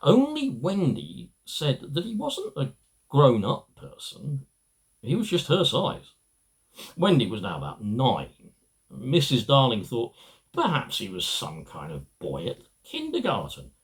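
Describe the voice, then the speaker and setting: A middle-aged man reading a children's story aloud, halting and emphatic, with small stumbles. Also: medium pitch, huge pitch swings. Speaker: amateur storybook reader.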